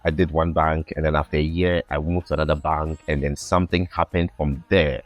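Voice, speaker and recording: A young man from Ghana with a low, deep voice tells his story as a podcast guest. He speaks earnestly and reflectively, often repeating a word while he searches for the next one. The sound is dry and close. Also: little pitch movement, fairly quick, no room sound, faint music behind. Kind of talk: casual interview storytelling.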